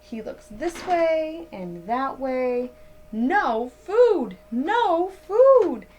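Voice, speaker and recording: A woman in her thirties reading a picture book aloud to children like a teacher, with deliberate, dramatic emphasis. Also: sits high, big pitch swings, a little mellow, slight background noise. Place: small indoor room at home.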